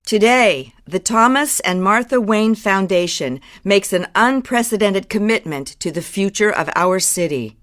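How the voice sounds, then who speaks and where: A woman's voice: medium pitch, lively intonation, unhurried, hardly any pauses, fairly bright tone. A woman playing an earnest civic speaker in a video game, delivering a formal scripted public speech. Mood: earnest, resolute.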